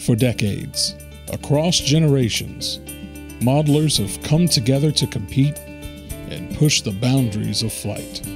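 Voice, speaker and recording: A man giving a dramatic promo voice-over with a slow, weighty, solemn delivery. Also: medium pitch, creaky, over music. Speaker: promo narrator.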